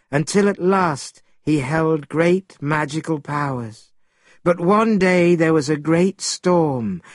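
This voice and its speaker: A man voicing a calm goddess in a storybook, explaining things in a measured manner. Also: on the high side, no echo, studio quality, voice pushed up. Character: calm, explanatory goddess, female, telling what she did.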